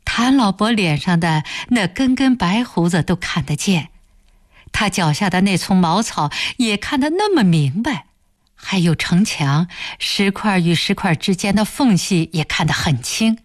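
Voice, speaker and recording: A woman's voice: mid-range voice, lively intonation, measured pace, dry recording, clean studio sound. A woman voicing a good-natured, eager and anxious young village husband in an audiobook, with rustic, exclamatory speech. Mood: amazed delight. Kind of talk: audiobook character voice.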